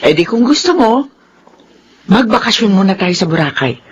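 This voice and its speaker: A woman acting in a Filipino radio drama, playing a doting, nagging middle-aged newlywed mother with a husky voice and Filipino accent. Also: mid-range voice, sing-song, moderate pace, highs cut off, a little hiss or hum, lightly acted. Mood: coaxing.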